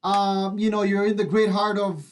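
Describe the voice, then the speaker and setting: A man's voice: high voice, little pitch movement, moderate pace. A man co-hosting a casual live interview, chatting informally with the guest. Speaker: radio show co-host.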